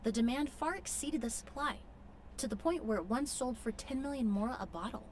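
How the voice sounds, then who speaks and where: Woman as poised young perfumer, anime game role, lightly high voice, polite, explanatory, formal. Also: fairly quick, heavy background noise, lightly acted. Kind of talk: anime video game voice acting.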